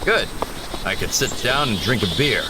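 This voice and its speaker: A man's voice: on the low side, expressive, moderate pace, heavy background noise, natural voice. An actor playing a joking father in his fifties on a fishing trip, with an easygoing, teasing manner. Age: 50s. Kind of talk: audio drama acting.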